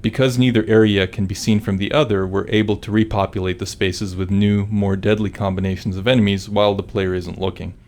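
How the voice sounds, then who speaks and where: A man's voice: on the low side, level tone, a little fast, few pauses, a little mellow. A man giving scripted developer commentary on a video game, explaining design choices.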